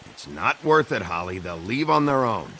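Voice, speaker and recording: An actor playing a gruff, weary tough lawman in a video game, terse and blunt. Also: fairly low pitch, sing-song, moderate pace, a bit bright, slight background noise. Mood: tense. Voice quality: gruff, gravelly.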